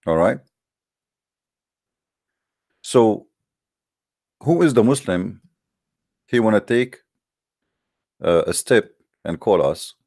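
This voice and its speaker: A man's voice: on the low side, expressive, slow, gravelly, long pauses, mellow, no room sound, clean studio sound. A man, an English speaker, hosting a live call-in debate show in a hesitant but challenging way, with many fillers. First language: Arabic.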